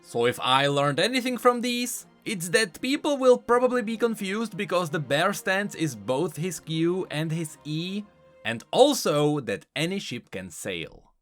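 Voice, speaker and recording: A man's voice: sits high, big pitch swings, a little slow, a bit bright. A man hosting an explanatory video game lore commentary, speaking in an emphatic way. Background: faint background music.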